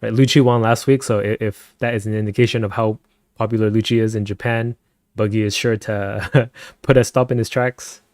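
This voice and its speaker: Soft-spoken man with a low voice. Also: steady tone, normal speed, dry recording, studio quality. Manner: chatty, laughs often. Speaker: streamer. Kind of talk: casual live-stream chat with viewers. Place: home at night.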